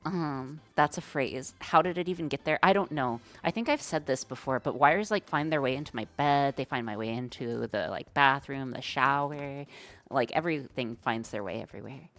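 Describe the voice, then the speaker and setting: A woman's voice: a bass voice, moderate pace. A woman streaming live, thinking aloud as she writes code and chats with viewers in a slangy, casual way. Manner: thinks aloud while coding, slangy and chatty. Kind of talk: live-stream coding chat.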